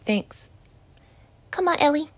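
Woman doing a scared, swearing teen boy, high voice, swooping wildly, slow drawl. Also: animated. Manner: swearing, dramatic, jumpy. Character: jumpy foul-mouthed teen boy, scared and joking. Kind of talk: ASMR roleplay.

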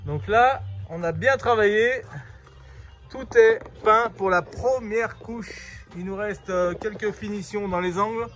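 A man with a fairly high voice talks informally to viewers as a vlogger. His manner is playful and casual, with faint music behind him. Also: unhurried, fairly bright tone. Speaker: vlogger showing his camper build and travels. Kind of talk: casual vlog chat.

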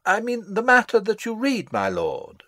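A man's voice: sits high, wildly swooping pitch, normal speed, bright, forceful tone, no room sound, exaggerated voice. Guy acting a pompous old royal advisor, meddling, voice swoops wildly, very dry sound, shakespeare play. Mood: probing. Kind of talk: Shakespearean dramatic reading.

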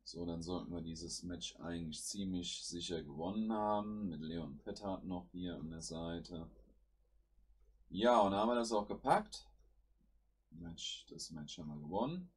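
Deep husky man with a cold. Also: unhurried. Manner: thinks aloud while playing, with fillers. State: has a cold. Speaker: gaming channel host. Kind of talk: casual gameplay commentary.

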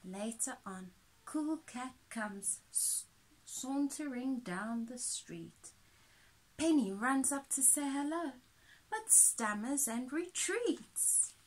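A woman's voice: high voice, lively intonation, slow pace, low-quality recording. A woman in her thirties reading a picture book aloud to children as a teacher, expressively, with little asides. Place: small indoor room with soft toys.

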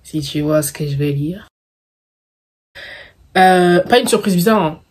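Husky young Parisian-suburb woman vlogger. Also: fairly low pitch, measured pace. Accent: Parisian suburban French. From Paris suburbs, France; of Congolese descent. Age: early 20s. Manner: playful, expressive, drops in English catchphrases. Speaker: vlogger answering viewer questions. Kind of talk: casual chat to camera, slangy.

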